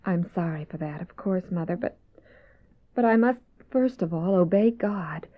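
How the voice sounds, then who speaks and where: An actress playing an earnest, devout young adult daughter, pleading and breaking off mid-thought in old-fashioned radio drama style. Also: female voice, fairly low pitch, lively intonation, normal speed, close to an everyday voice. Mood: pleading, sorrowful. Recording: dull lo-fi cassette radio drama.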